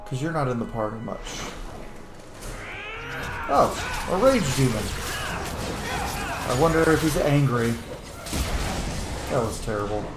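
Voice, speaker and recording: A man's voice: medium pitch, very animated, takes it slowly, pauses often. A man giving casual, offhand gameplay commentary and joking to himself as he plays. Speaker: gaming video host. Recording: roomy echo, faint game music behind. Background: faint game music.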